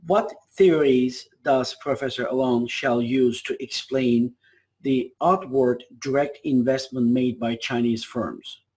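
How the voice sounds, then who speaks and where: Husky-voiced man moderating a webinar. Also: mid-range voice, darkish tone. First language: not English. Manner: polite, reads questions aloud, hesitant.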